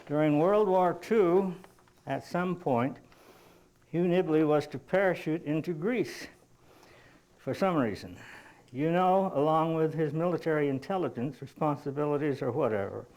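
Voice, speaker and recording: A male lecturer speaks in a slightly high-pitched voice. He tells anecdotes to his listeners in a measured, explanatory manner, halting now and then as he puts his thoughts together. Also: a little mellow, rough recording. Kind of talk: lecture telling anecdotes to an audience.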